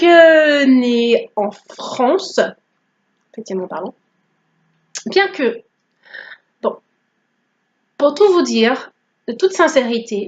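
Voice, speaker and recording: This is a woman from Guadeloupe, raised speaking English first, talking to her viewers in a relaxed, teasing way and slipping in asides. Her voice sits a little high. The sound is somewhat muffled and lo-fi. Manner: playful, joking, code-switching. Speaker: vlogger. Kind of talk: casual testimony vlog.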